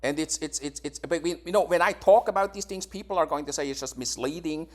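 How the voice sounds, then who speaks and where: A man's voice: fairly high pitch, fast talker. A man in his forties from Switzerland, answering questions in a casual interview, defensive and prone to repeating words and restarting sentences. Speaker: YouTuber being questioned.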